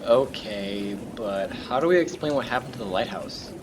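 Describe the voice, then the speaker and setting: A man's voice: medium pitch, expressive, moderate pace, lightly acted. Uneasy questioning Johnny in a rainy drama, man acting. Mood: bemused. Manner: plain, questioning. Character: Johnny, uneasy questioning male lighthouse companion. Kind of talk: amateur radio play acting. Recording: noisy rain and storm ambience.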